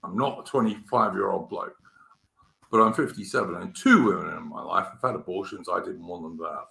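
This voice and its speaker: An English man in his fifties hosting a casual political chat, halting, with many fillers and restarts. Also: low-pitched, gravelly, sharp tone, reverberant. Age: 50s. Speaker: podcast host.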